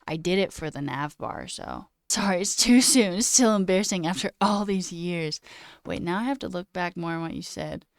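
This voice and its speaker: A woman's voice: lowish voice, lively intonation, moderate pace, no echo, clean studio sound. A young woman with a slight sore throat, casually chatting on a live coding stream, reading chat aloud and muttering at her code. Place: small room indoors.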